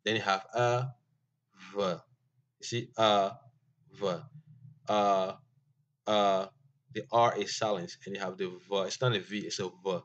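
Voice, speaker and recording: A man whose first language is Ewe teaches an informal lesson in a low, unhurried voice. He halts often, filling pauses and restarting his sentences. The recording sounds dull and lo-fi. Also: fairly even intonation. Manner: slow, halting, many fillers and restarts.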